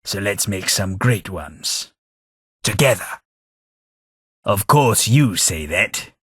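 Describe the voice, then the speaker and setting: An actor playing an old, wry undead London gentleman in a game, speaking, formal politeness and dry wit, with a husky voice. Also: fairly low pitch, expressive, slow pace, dry recording, heavily acted. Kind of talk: game companion voice lines. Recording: dry close studio recording.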